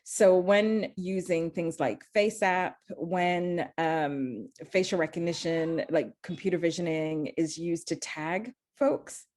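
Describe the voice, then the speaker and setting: A woman's voice: fairly low pitch, takes it slowly, hardly any pauses, darkish tone. A woman from Zambia, speaking earnestly as a panelist and often restarting her sentences. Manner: earnest, searching, many restarts. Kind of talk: panel discussion.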